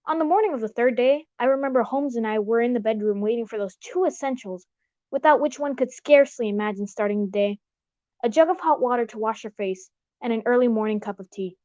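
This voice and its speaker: A woman's voice: a little high, darkish tone, dry recording, lightly acted. A woman playing a genial, sentimental male sidekick and storyteller in an old-time radio drama, with polite, old-fashioned, exclamatory delivery. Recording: dull, dry lo-fi recording.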